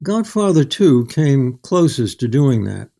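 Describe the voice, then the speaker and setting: An older man speaking as a guest author in a reflective literary interview, measured, thoughtful and articulate. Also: medium pitch, very animated, creaky, dark tone.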